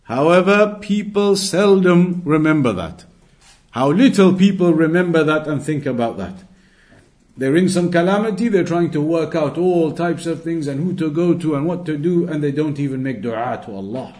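Higher-pitched man teaching a religious lesson. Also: lively intonation, normal speed, darkish tone. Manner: explanatory, repeats and rephrases points for emphasis. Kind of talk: Islamic lesson, reading Arabic text and explaining it.

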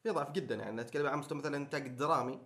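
A man's voice: mid-range voice, rapid-fire, bright, forceful tone. A man with an Omani Arabic accent talking casually and debating as a podcast co-host. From Oman.

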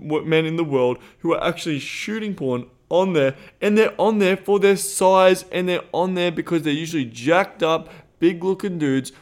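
Australian guy, co-host, a bit high-voiced, blunt, swears a lot, animated casual chat. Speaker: podcast co-host. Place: Thailand.

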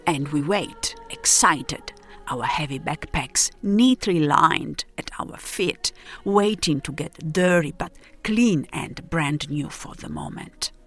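Woman telling a travel story, bit of creak in her voice, reflective, pauses a lot, music under it. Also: deep voice, lively intonation. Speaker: narrator. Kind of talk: literary first-person audio travel narration.

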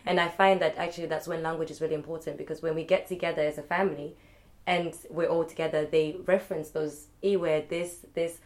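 A woman's voice: deep voice, flat delivery, fairly quick, hardly any pauses. A woman in casual podcast chat, earnest and reassuring as she talks. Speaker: podcast co-host.

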